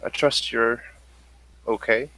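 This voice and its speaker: Man playing a slow, measured, formal android. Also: mid-range voice, steady tone, sharp tone, no room sound, poor audio. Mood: gentle, hesitant. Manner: slow, formal, deliberate phrasing. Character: measured, formal male android advising calmly.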